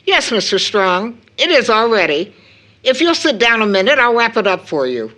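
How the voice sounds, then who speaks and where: A woman's voice: fairly high pitch, big pitch swings, moderate pace, fairly bright tone. A middle-aged woman acting a tired, stern but fond mother in a period audio drama, speaking with proper, precise, scolding phrasing. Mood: weary, businesslike.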